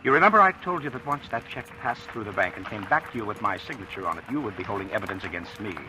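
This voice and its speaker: A man's voice: fairly low pitch, lively intonation, bright, forceful tone, slight background noise, poor audio. Suave, swooping male blackmailer. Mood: smug. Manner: suave, taunting, deliberate drawn-out politeness. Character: smooth, menacing male blackmailer and gambler, mockingly polite. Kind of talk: 1940s radio acting. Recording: old radio drama recording, music underneath.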